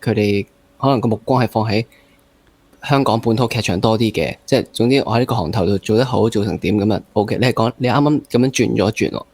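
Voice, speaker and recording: A man's voice: fairly low pitch, fast talker, darkish tone, no echo. A man with a Hong Kong accent hosting a casual interview, probing and rephrasing at length with piled-up examples. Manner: rephrases at length, piles up examples, mixes in English. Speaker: interview host.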